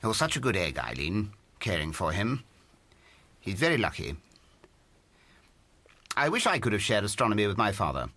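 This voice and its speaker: Impassioned, eccentric English gentleman astronomer. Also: on the low side, very animated, normal speed, long pauses, no room sound, heavily acted. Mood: tender, rueful. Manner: old-fashioned upper-class turns of phrase, emphatic. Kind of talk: radio drama acting.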